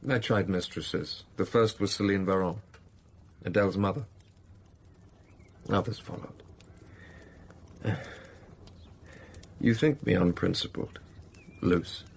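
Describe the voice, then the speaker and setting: An actor playing a brooding, passionate middle-aged master of a country estate in a period radio drama, gravelly and intense. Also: male voice, deep voice, takes it slowly, long pauses, heavily acted. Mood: brooding, regretful. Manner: intense, confessional, pleading.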